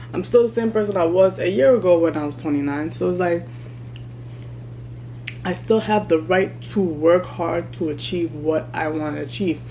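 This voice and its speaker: Woman in her thirties, vlogging over a meal, mellow voice, faint hum behind. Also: on the low side, unhurried, rough recording. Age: 30s. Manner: candid, chatty, eating while talking. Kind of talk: casual mukbang vlog chat. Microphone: camera mic.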